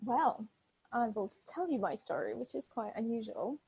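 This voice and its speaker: Husky, gravelly woman. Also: on the high side, expressive, moderate pace, warm tone, poor audio. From Ukraine. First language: Russian. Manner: thoughtful, hesitant with many ums. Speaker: podcast guest. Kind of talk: casual interview chat.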